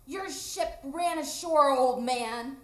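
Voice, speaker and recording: A woman as a high-voiced, defiant eternal boy. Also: slow pace. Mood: scornful. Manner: declamatory, boastful, scolding. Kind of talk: live radio drama acting. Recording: echoey live stage room.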